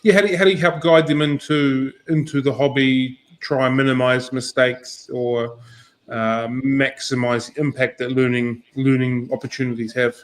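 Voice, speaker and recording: Host: man, croaky voice, easygoing, keeps saying cool. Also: medium pitch, level tone, normal speed, low-quality recording. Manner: easygoing, says cool a lot, hedging questions. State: croaky voice this morning. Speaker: livestream host. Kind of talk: casual interview questions.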